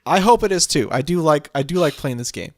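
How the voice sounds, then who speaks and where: A man co-hosting a podcast, chatting casually about games, agreeable and quick to say yeah, now and then swearing offhand. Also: on the high side, expressive, no echo, clean studio sound. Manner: agreeable, frequent yeahs, loose swearing. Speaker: podcast co-host. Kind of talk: casual gaming chat.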